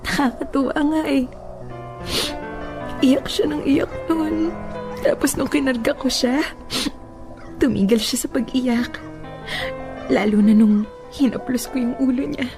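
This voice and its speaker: A young Filipino wife in a radio drama, mourning her lost baby. Her voice sits fairly high, tender and fragile, with a plaintive, halting delivery. Music plays clearly behind her. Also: fairly even intonation. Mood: sorrowful.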